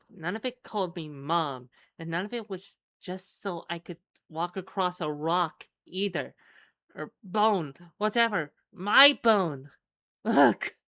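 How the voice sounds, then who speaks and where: A woman's voice: fairly low pitch, a bit bright, no room sound. A woman playing a weary, blunt adventurer who is troubled by killing, in a role-playing game. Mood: exasperated.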